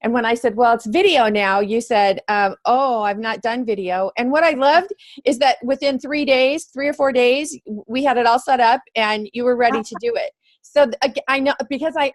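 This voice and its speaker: Woman, interviewer, somewhat high pitch, affirming, conversational, video call. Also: brisk. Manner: warm, affirming, encouraging. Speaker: interviewer, host.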